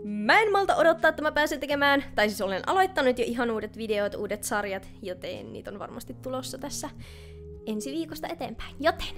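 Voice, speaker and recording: A woman's voice: high voice, animated, a bit bright, soft background music. A young woman in her twenties chatting casually as a YouTuber who makes fan dubs. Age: 20s. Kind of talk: casual vlog chat. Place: home kitchen, indoors. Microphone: large studio mic close to the face.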